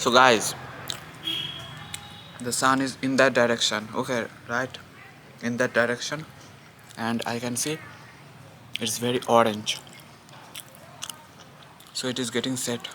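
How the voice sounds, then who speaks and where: A man's voice: mid-range voice, fairly even intonation, unhurried, long pauses, sharp tone, a little hiss or hum. Chatty Bengali man vlogging outdoors. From India. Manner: rambling, repeats himself, chatty asides to viewers. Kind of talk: casual vlog while eating outdoors. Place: public place outdoors.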